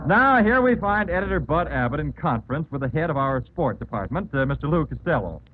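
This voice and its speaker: A man's voice: fairly high pitch, sing-song, fairly quick, narrow, tinny audio. A male announcer giving a scripted introduction on a 1940s radio programme, heard on an old broadcast recording.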